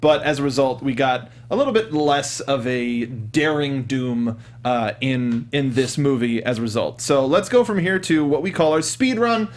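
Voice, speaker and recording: A man's voice: mid-range voice, animated, normal speed, hardly any pauses. A young man hosting a casual film discussion show, working from notes and speaking into a close studio mic. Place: small indoor podcast studio. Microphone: close studio mic with foam cover.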